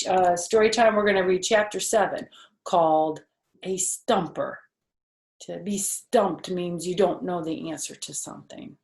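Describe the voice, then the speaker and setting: A woman's voice: lowish voice, gravelly. An older woman reading for children, chatting conversationally and putting questions to young listeners. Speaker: children's story reader. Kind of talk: children's storytime narration. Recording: roomy, echoey home recording.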